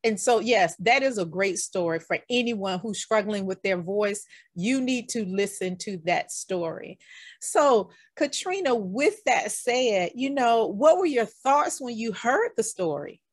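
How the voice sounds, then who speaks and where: A woman's voice: medium pitch, lively intonation, measured pace, dry recording, clean studio sound. A woman hosting a podcast interview, talking enthusiastically and casually and addressing her listeners as "y'all". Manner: warm, enthusiastic, talks straight to listeners with "y'all". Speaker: podcast host.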